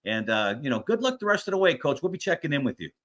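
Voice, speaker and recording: A man's voice: fairly high pitch, very animated, quick pace, a bit bright. An American man hosting a sports show, talking conversationally as an interviewer.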